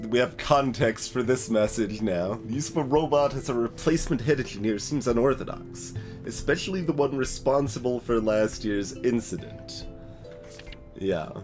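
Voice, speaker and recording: A man hosting a let's play with casual gameplay commentary, thinking aloud and often hesitating as he muses. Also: medium pitch. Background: game music.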